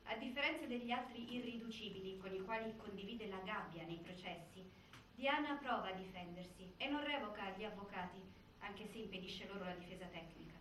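An actress playing a sober, formal narrator, reading scripted documentary narration for the stage. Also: female voice, mid-range voice, lively intonation, fairly quick, fairly bright tone, lightly acted. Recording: echoey stage, distant, faint noise.

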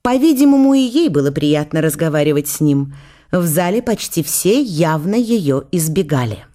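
A woman's voice: deep voice, big pitch swings, moderate pace, warm tone. A woman narrating a historical radio drama in a dramatised literary style.

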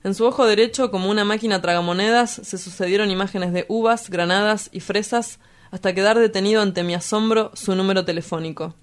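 A woman's voice: medium pitch, fairly even intonation, a little fast, natural voice. A woman with an Argentine accent playing a brooding, blunt, poetic lover who lives by night, in a radio drama.

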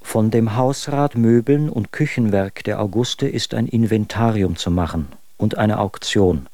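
A man's voice: fairly low pitch, unhurried, darkish tone, no room sound. An actor playing an aggrieved husband who reads out his letters in a formal, indignant, articulate way in a radio drama. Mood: businesslike.

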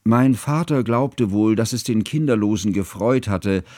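An actor playing a bookish, self-conscious scholar who recalls his youth in measured, ornate literary narration for a radio play. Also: fairly low pitch, a little mellow, close to an everyday voice. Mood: reflective, scrupulous. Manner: measured, formal, ornate phrasing. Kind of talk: literary first-person narration, German radio drama.

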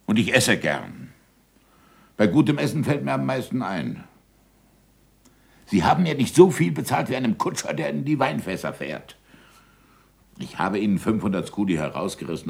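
An actor in a 1960s German radio drama playing a passionate, impatient middle-aged astronomer, with emphatic stage diction and a gravelly, husky voice. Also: male voice, sits low, exaggerated voice. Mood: wry, aggrieved. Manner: emphatic stage diction, swinging from murmurs to shouts.

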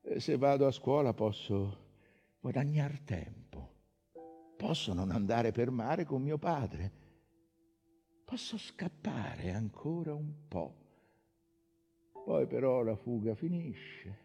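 An actor playing a wistful, haunted sailor's son in an intimate, hesitant, reflective theatrical monologue. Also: male voice, mid-range voice, animated, slow, pauses often, warm tone, husky, full character voice. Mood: sombre, haunted. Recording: dull lo-fi sound, faint music.

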